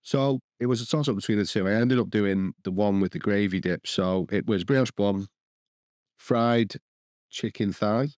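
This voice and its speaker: A man's voice: on the low side, normal speed, a little mellow, no echo, clean studio sound. A man co-hosting a podcast, rambling and restarting sentences as he lists the dishes he cooked. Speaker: podcast co-host. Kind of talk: casual chat.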